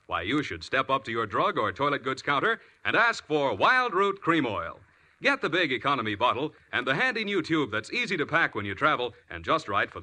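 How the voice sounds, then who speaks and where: An American man delivers a polished 1940s radio commercial. His voice is intensely bright and forceful, with animated swings in pitch and an emphatic announcer's delivery.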